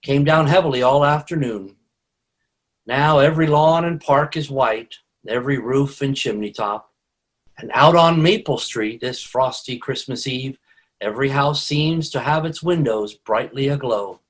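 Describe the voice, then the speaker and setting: An actor playing a folksy, genial narrator who sets the scene in 1950s radio storytelling style. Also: male voice, medium pitch, measured pace, natural voice.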